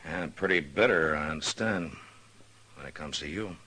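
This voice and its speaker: An actor playing a stern, laconic western marshal in a radio drama, terse and dry. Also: male voice, rumbling low, moderate pace, bright, forceful tone, full character voice. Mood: guarded, calm. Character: stern, laconic Old West marshal, weary and guarded. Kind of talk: 1950s radio western acting.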